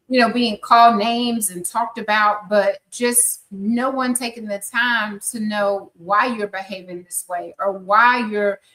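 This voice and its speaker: Slow-talking, effusive woman in her fifties. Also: medium pitch, fairly bright tone, low-quality recording. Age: 50s. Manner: chatty and effusive, encouraging. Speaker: podcast host. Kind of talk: live podcast interview.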